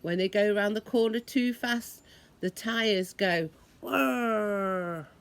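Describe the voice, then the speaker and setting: Female storyteller for young children, mid-pitched, unhurried and deliberate, expressive with imitated noises. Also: smooth. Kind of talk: read-aloud children's story with imitated sound effects.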